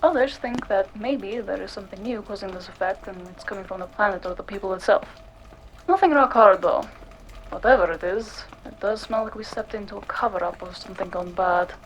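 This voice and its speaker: A woman playing a curious, cool-headed cyberpunk investigator in a noir audio drama, measured and matter-of-fact. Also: medium pitch, a little hiss or hum, natural voice, rough recording.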